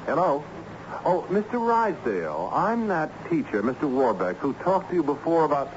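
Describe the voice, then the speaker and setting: An actor playing a glum, wry schoolteacher in a theatrical radio drama style. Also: male voice, a little high, big pitch swings, normal speed, a little hiss or hum. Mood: polite. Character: glum, wry male schoolteacher drawn into a search. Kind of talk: American radio drama acting. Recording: muffled old radio recording with music.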